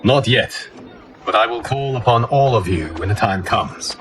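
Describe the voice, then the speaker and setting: An actor playing a noble, solemn guardian god in a video game, with a formal, measured, dignified delivery. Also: male voice, medium pitch, noisy background, natural voice. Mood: calm, gracious. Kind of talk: dramatic video game cutscene acting. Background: music.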